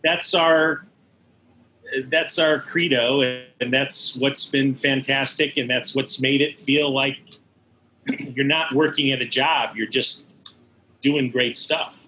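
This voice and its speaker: A man's voice: mid-range voice, fairly even intonation, takes it slowly, pushed and bright, a little hiss or hum, rough recording. A middle-aged or older man, an interview guest recalling his work in animation with emphatic enthusiasm. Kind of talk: conversational recollection.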